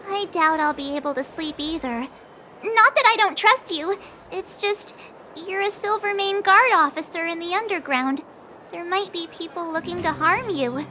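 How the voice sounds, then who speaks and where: A woman voicing a perky, outspoken, slightly skittish teenage adventurer in a video game, chatty and expressive. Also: very high-pitched, normal speed, slight background noise. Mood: chatty, concerned. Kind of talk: English game voice acting.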